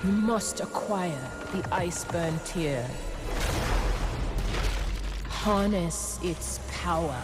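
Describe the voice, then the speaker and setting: A woman playing a solemn, foreboding mystic guide in a video game, giving grave and measured warnings. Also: lowish voice, animated. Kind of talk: dramatic video game acting. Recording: loud game music underneath.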